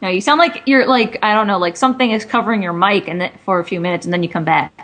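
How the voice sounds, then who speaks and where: A woman's voice: medium pitch, fast talker, a bit bright, a little hiss or hum. A woman co-hosting a casual chat among friends, tossing in teasing, sweary remarks. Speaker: podcast co-host.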